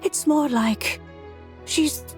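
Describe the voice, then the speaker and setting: A woman's voice: high-pitched, drawn-out words, faint music behind. A woman voicing a genial, wise female immortal in a video game, speaking in a gracious, thoughtful manner. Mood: pondering. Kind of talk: game voice acting.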